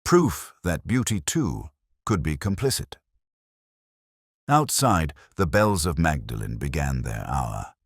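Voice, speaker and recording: Man, very deep voice, expressive intonation, solemn literary mystery narration, measured, reflective, dry sound. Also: no echo. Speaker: narrator of a detective mystery story. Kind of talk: scripted first-person literary narration, measured and melancholy.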